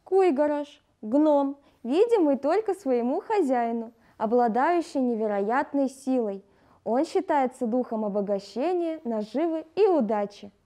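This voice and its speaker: A woman's voice: high-pitched, expressive, measured pace, dark tone. A woman presenting a scripted educational lesson in a didactic way, addressing her pupils.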